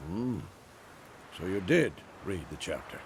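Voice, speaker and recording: An actor playing a gruff, stern old male witcher mentor in a game cutscene. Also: sits low, a little slow, a little hiss or hum, heavily acted. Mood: lecturing. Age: older. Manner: stern, grumbling, lively delivery. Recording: game cutscene with loud music.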